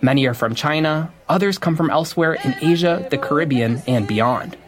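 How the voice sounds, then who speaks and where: A man reporting from the field in a measured, scripted news delivery. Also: fairly high pitch, over music. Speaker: radio reporter. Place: on location outdoors at a protest.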